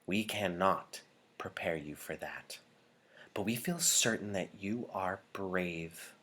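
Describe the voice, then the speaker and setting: Storybook chief elder, a grave, authoritative woman played by a man, low voice, formal measured address. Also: sharp tone, slightly rough, full character voice. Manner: formal, deliberate, ceremonial. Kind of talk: audiobook character dialogue.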